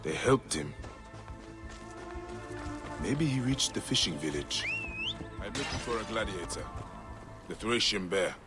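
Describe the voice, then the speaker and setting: An actor playing a grave, determined Egyptian warrior in a video game, measured and stern in manner. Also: male voice, lowish voice, animated, music in the background. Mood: grim, focused.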